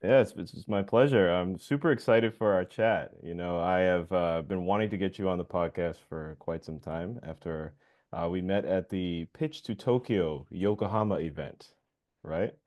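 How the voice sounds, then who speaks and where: A man's voice: low voice, moderate pace, a little mellow. A man from the United States hosting a podcast interview, chatting casually and enthusiastically with many "you know"s and "awesome"s. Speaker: podcast host.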